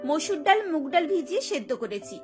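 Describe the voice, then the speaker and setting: A woman's voice: high voice, sing-song, moderate pace. A woman voicing a cheerful, insistent young daughter-in-law in a cartoon, eager and coaxing. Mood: coaxing, pleased. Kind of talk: Bengali cartoon voice acting. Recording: music bed behind the voice.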